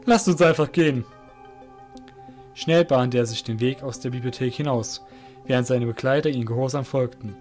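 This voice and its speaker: A man's voice: mid-range voice, steady tone, brisk, darkish tone, soft background music. A man reading scripted narration for an audio drama as the narrator.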